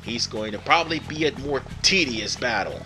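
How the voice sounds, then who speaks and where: A man's voice: mid-range voice, expressive, moderate pace. Tired rambling man over game music. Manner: rambling, digressive, self-correcting. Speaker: gaming video host. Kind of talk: casual let's play commentary. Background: video game music.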